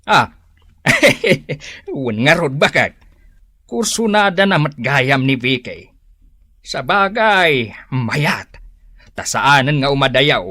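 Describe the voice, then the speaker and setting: A man's voice: on the high side, big pitch swings, takes it slowly, pauses often, pushed and bright, full character voice. An actor playing a scolding father in an Ilocano radio drama, speaking with an Ilocano accent. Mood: teasing. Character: scolding father of a grown son.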